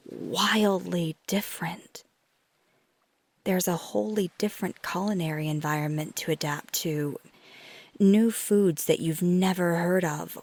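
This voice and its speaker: A flirty, caring vampire woman played by a female performer speaks in an intimate ASMR roleplay. Her soft voice is breathy and gravelly with fry, and she takes her time over every phrase. She sounds affectionate and gently teasing, speaking close to the listener. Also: fairly low pitch. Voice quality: soft, breathy, often whispery. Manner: unhurried, thoughtful, affectionate.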